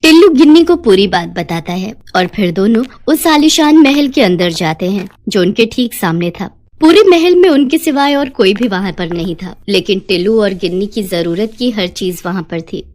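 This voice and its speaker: A woman's voice: medium pitch, expressive, normal speed, few pauses, a little mellow. A woman narrator reading a scripted moral story.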